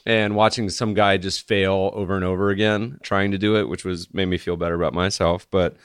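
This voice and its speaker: A man's voice: deep voice, level tone, fairly quick, clear, resonant tone, no echo, clean studio sound. A man hosting a podcast, chatting casually and joking with his guest in an upbeat way. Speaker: podcast host. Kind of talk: casual interview chat.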